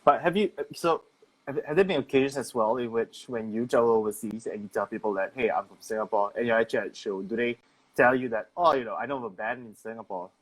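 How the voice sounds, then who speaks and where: A man's voice: medium pitch, fast, low-quality recording. A man hosting a casual interview, rambling through long questions full of "like". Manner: rambling, many "like" fillers. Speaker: host.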